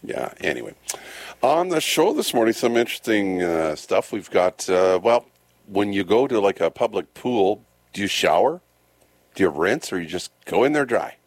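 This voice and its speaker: A man with a very low voice that still moves lively up and down, bantering on a morning radio show. He rambles and jokes in a relaxed, chatty way, recorded dry and close in a studio. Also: normal speed, no echo. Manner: rambling, joking, loose and chatty.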